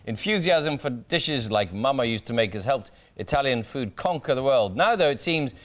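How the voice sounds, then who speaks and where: A man's voice: mid-range voice, animated, normal speed. A middle-aged man presenting breakfast television in a studio, chatty and jokey. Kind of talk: breezy morning television presenting.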